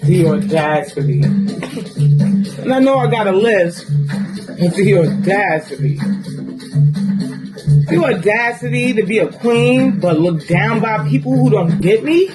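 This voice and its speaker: A woman's voice: expressive, a little slow, pauses often, poor audio. A woman from New York performing a spoken word poem with an intense, rhythmic, emphatic delivery. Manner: intense, rhythmic, emphatic delivery, swinging between low and high. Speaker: poet. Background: guitar music.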